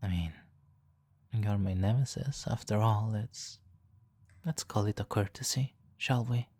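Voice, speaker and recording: A man playing a smug, flirtatious supervillain in an ASMR roleplay, teasing and mock-polite, with savoring pauses. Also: on the low side, dark tone, slightly rough. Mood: teasing, confiding. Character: smug, flirtatious male supervillain toying with a captured hero. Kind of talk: ASMR roleplay, slow intimate monologue. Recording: faint background noise.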